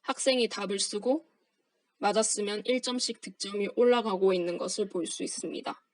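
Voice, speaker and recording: A young woman, a university student, reading a formal project presentation aloud in a steady, scripted manner. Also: medium pitch, a little fast, dry recording. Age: young adult.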